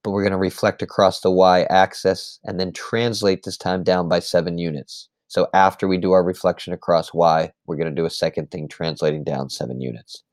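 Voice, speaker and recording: A man teaching a math lesson recap, explaining step by step in a patient way, his voice slightly hoarse. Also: sits low, little pitch movement, a little fast, few pauses, no echo, clean studio sound. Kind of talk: screen-recorded lesson recap.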